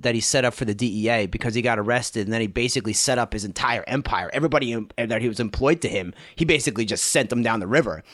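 A man's voice: medium pitch, no echo, clean studio sound. A man hosting a podcast interview, talking in a blunt, joking, incredulous way with plenty of swearing. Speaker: podcast host. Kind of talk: casual profane interview chat.

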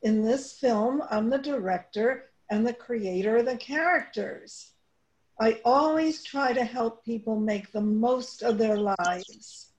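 A woman giving a prepared introduction as director and playwright, speaking deliberately, phrase by phrase. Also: a little high, darkish tone, highs cut off.

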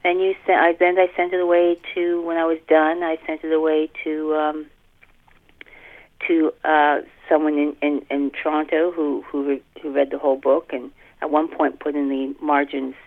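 A woman's voice: deep voice, level tone, normal speed. Middle-aged woman author on tinny phone line. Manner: self-deprecating, chuckling. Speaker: author being interviewed. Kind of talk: casual anecdotal interview chat. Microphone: telephone.

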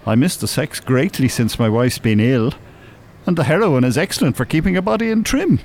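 Older Irish male for radio drama: dry, teasing, wordy, animated pitch, light ambience behind. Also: fairly quick, slight background noise. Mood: droll, mock-solemn. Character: dry, droll, mock-pious old married man. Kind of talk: naturalistic radio drama acting.

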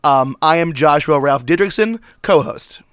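A man co-hosting a comedy podcast, his voice stuffy from a cold. His pitch runs a little high for a man, and the recording is dry and close. He keeps up a joking, mock-excited patter. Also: moderate pace, clear, resonant tone, dry recording. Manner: joking, mock-hype banter. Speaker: podcast co-host. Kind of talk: casual comedic chat.

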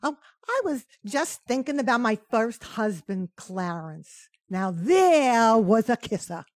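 A woman's voice: a little high, sing-song, takes it slowly, no room sound, clean studio sound. A woman playing a chatty, good-humored household maid in a radio sitcom. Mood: dreamy, wry. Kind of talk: old-time radio sitcom acting.

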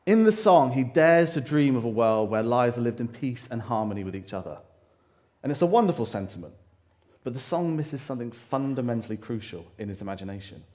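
Man, preacher, loud voice, mid pitch, earnest, halting restarts, church microphone. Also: animated. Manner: earnest, with frequent fillers and restarts. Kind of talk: church sermon. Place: church hall, live congregation. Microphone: handheld or stand microphone.

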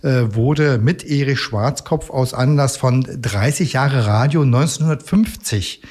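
Husky man explaining, somewhat halting. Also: mid-range voice, darkish tone. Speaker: radio museum representative, interview guest. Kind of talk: informal explanatory interview talk.

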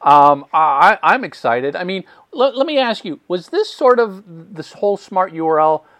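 A man's voice: high-pitched, sing-song, normal speed, a bit bright, no echo. A man hosting a casual podcast interview, asking long questions with stammered restarts. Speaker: podcast host.

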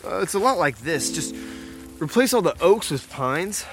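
A man playing a guarded, low-key drifter and hunter in an audio drama, speaking in terse, hesitant lines. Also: big pitch swings, normal speed, music in the background. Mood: reserved, reflective. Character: guarded, drifting outdoorsman from Maine who grew up hunting. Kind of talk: naturalistic audio drama dialogue.

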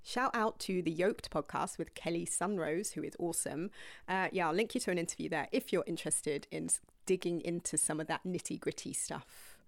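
A young woman yoga teacher telling her own story to viewers in a rambling, candid, casual way, dropping to breathy whispered asides now and then. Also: lowish voice, brisk, no echo, clean studio sound.

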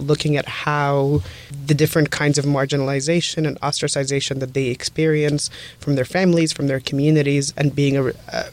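A man in his twenties from Egypt, a playwright who gives long, fluent, thoughtful answers as a guest in a radio studio. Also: mid-range voice. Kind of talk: articulate interview answers.

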